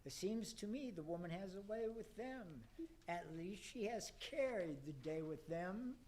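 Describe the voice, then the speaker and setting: A man's voice: sits high, animated, unhurried, background noise. A man playing a pompous devil who formally presides over fellow fiends in a rhyming radio play. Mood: pompous, presiding. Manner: pompous, formal, theatrical rhymed delivery. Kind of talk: radio theater acting.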